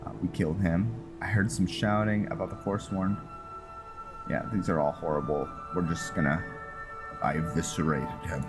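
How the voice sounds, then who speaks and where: A man's voice: sits low, steady tone, normal speed. A man casually commenting while playing a role-playing game, joking and thinking aloud. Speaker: gaming video host. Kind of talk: casual let's-play commentary. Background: game music.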